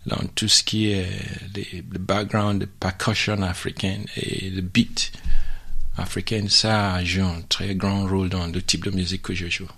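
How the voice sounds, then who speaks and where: Slow-spoken Nigerian man in an interview. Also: sits low, flat delivery, a bit bright. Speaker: musician, interview guest. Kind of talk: casual interview answers.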